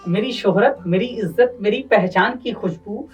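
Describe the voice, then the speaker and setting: A man's voice: high voice, moderate pace, highs cut off. Distant-miked male poet declaiming over guitar music. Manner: declamatory, repeats phrases for effect. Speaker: poet and host of poetry gathering. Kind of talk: Urdu-Hindi couplet recitation and formal introductions. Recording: distant microphone, music underneath.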